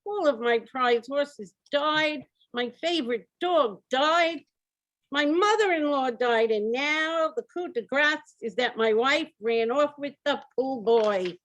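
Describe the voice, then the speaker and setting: A woman's voice: sits high, expressive, slow pace, fairly bright tone, heavily acted. A woman playing a distraught, incredulous rich husband who owns a mansion, in an old-time radio comedy style. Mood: stunned despair. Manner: dramatic, incredulous, repetitive laments. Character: distraught rich mansion owner, husband, reeling from piling losses.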